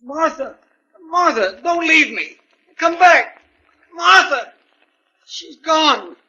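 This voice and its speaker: A man playing a nervous, excitable bereaved widower with an agitated, beseeching delivery in 1940s radio style. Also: very high-pitched, slow pace, heavily acted. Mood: desperate. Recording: dull old 1940s radio recording.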